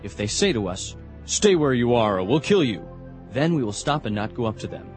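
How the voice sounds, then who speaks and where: An actor playing a confident, devout young warrior prince with an earnest, resolute manner in a dramatized scripture reading. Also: male voice, medium pitch, very animated, a little fast, darkish tone, soft background music, lightly acted. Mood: resolute, confiding. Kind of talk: dramatized audio Bible acting.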